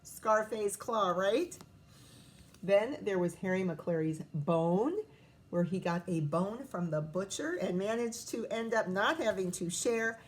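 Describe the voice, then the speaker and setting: A woman teacher reading a picture book aloud to children, expressive and often pausing to prompt young listeners with questions. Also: mid-range voice.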